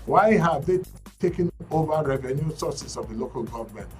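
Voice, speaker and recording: A man's voice: on the high side, animated, fairly quick, distant mic, music in the background. A man with a Nigerian English accent hosting a current affairs show, reading news snippets with frequent fillers and restarts. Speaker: radio and TV current affairs host.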